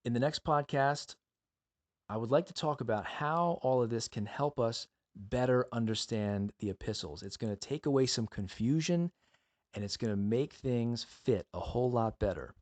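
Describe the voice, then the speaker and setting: A man's voice: lowish voice, darkish tone. A man teaching the Bible as a podcast host, speaking earnestly and emphatically in a monologue built on rhetorical questions and appeals to the listener.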